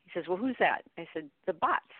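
A middle-aged woman giving conversational interview answers as a guest expert, telling things in an animated way with quoted dialogue. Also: fairly low pitch, fairly quick, husky, no room sound. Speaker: guest expert, training company chief executive. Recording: narrow phone-like line.

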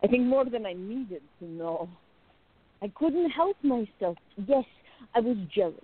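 A woman playing a jealous, besotted young woman confiding in her diary in a radio play, intimate, expressive and musing. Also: a little high, unhurried. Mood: tender, uneasy. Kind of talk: dramatic first-person diary narration in a radio play. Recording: narrow phone-like lo-fi sound.

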